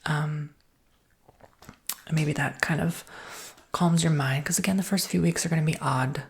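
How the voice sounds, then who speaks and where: A woman playing an easygoing, reassuring salesperson in an ASMR roleplay, soft-spoken and partly whispering in an informal, rambling way. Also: sits low, fairly even intonation, a little slow, gravelly. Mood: gently cautioning, reassuring. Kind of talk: ASMR roleplay, improvised customer-service chat.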